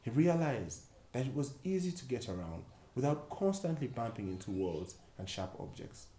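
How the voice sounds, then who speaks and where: A man reading a children's story expressively, with dramatic pauses and emphasis. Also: medium pitch, very animated, mellow. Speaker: volunteer storyteller at a children's book club. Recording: echoey room with faint background noise.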